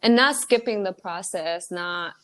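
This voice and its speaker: Earnest, low-pitched woman guest. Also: measured pace, fairly bright tone. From Dominican Republic. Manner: earnest and motivational, emphatic on key points. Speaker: author and entrepreneur guest. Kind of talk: casual interview chat.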